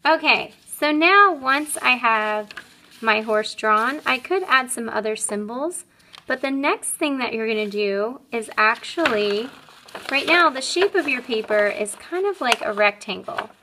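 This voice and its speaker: A woman's voice: a little high, animated, a little slow, noisy background. A woman, an elementary art teacher, demonstrating a craft step by step in a simple, upbeat way for young children.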